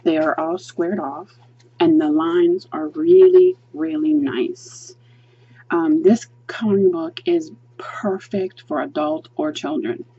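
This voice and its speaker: A woman's voice: sits low, lively intonation, slow pace, lo-fi sound, background noise. A woman reviewing a coloring book as she flips through it, chatty and gushing, with lots of ums while she thinks aloud. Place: indoors at a table, hands seen flipping pages.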